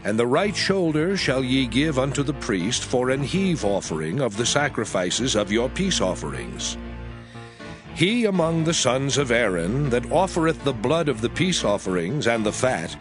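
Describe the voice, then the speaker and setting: A man playing God as a solemn, commanding lawgiver in a dramatized scripture reading, speaking in measured, declamatory phrases. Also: medium pitch, very animated, over music.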